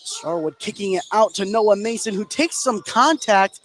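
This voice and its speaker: A man's voice: high-pitched, normal speed, fairly bright tone. A man giving live play-by-play commentary on a basketball game, as on sports radio. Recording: clear game noise behind the voice. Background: gym ambience of a basketball game.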